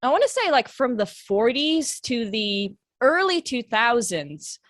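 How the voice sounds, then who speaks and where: A woman's voice: on the high side, big pitch swings, measured pace, no echo, clean studio sound. A woman co-hosting a podcast, chatty and self-deprecating, moving between casual banter and a read intro. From German and Hungarian family. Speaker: podcast co-host. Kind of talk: casual chat and scripted intro.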